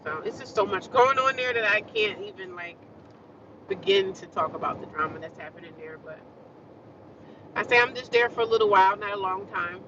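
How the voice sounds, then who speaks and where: A woman's voice: mid-range voice, a little fast, muffled recording. Woman, casual vlog chat, congested nose, distant phone mic, road noise behind. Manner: chatty, talks straight to viewers. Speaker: vlogger. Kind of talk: casual rambling chat to viewers.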